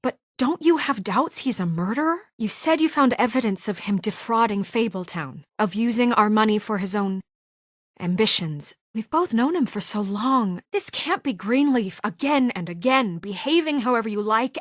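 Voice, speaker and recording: A stern young deputy mayor. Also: female voice, fairly high pitch, animated, moderate pace, fairly bright tone, no room sound. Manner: firm, clipped and authoritative. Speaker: game character. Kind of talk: video game voice acting. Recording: dry studio voice clips.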